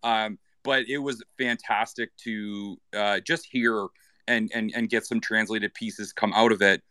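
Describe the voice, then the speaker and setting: A man from Canada with a slightly low voice talks through his thoughts in loose, rambling chat. He restarts phrases often and leans on fillers, and the sound is close and dry. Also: steady tone, unhurried, bright, forceful tone, no room sound. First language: English. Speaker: guest. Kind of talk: casual chat in an online audio space.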